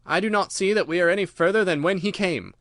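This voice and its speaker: A man's voice: sits high, quick pace, a bit bright, dry recording, clean studio sound, pitched above the performer's own voice. A man voicing a proud, sceptical colonel in an audiobook, blunt, curt and scornful.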